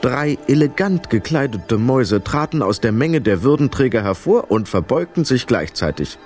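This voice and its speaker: A man's voice: medium pitch, lively intonation, moderate pace, a little mellow, faint music behind, close to an everyday voice. A man narrating a children's story as a scripted storyteller in a radio play. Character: storyteller of a children's mouse fairy tale.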